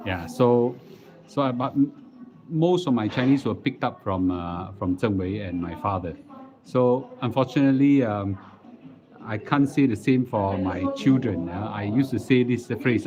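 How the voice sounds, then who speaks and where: A middle-aged man with a Singaporean accent chatting casually as a livestream host, halting and repeating words as he goes. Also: fairly low pitch. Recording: dull lo-fi live stream audio.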